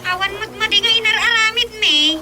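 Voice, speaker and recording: A woman's voice: extremely high pitch, a little fast. A woman playing a whiny young daughter who protests to her father in a radio drama. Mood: upset, complaining. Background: loud music.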